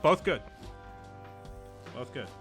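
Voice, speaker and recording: A man's voice: slow pace, fairly bright tone, soft background music. A man hosting a casual game recap in plain, short, encouraging sentences. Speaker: host and player.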